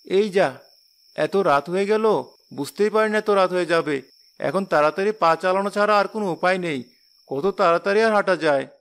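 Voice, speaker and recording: A man voicing a stubborn, plucky young son-in-law in a cartoon, talking in an animated, exaggerated way. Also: high-pitched, normal speed, clear, resonant tone. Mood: worried. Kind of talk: cartoon acting. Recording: music bed under the voice.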